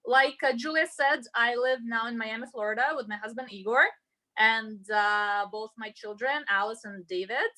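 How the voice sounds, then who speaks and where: Bright-voiced Russian woman, roomy sound. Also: on the high side, normal speed. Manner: chatty, explanatory. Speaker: home cook leading an online cooking class. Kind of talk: casual cooking demonstration. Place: indoors at home, cooking. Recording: roomy, echoey home sound.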